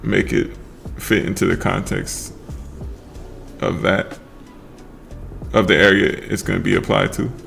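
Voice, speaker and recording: Guy painting on stream, low gravelly voice kinda mumbling his words, soft chillhop music playing under it. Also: unhurried, long pauses. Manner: relaxed, slurs words together, trails off. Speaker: artist livestreaming while painting. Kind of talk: casual livestream chat while painting. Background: faint lo-fi background music.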